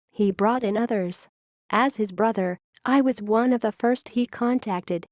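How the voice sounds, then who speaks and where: A woman playing a wistful, grave founding scientist who confesses a secret history in a measured, literary audio-log monologue. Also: medium pitch, darkish tone, no room sound, studio quality, natural voice.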